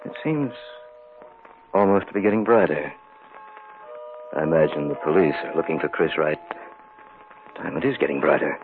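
A man's voice: a bass voice, normal speed, pauses often. An American actor playing a cold-blooded, scheming murderer who narrates his crime in 1950s radio horror style. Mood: hushed unease. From United States. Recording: muffled old radio recording, loud music.

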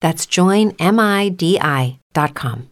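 A woman reads a scripted commercial voice-over. Her voice is a little on the low side, and the delivery is that of prepared ad copy. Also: expressive, takes it slowly. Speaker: advertisement voice-over. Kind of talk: scripted ad narration.

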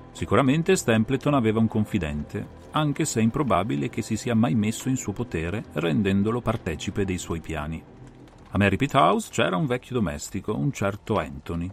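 A low-voiced, self-assured male detective acted by a man reading an audiobook lays out his case over faint music. His delivery is theatrical and methodical, with wide swings of intonation and measured, lecturing phrases. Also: big pitch swings, gravelly. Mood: explanatory, deliberate. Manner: measured, didactic, theatrical explanation. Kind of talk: dramatised audiobook narration.

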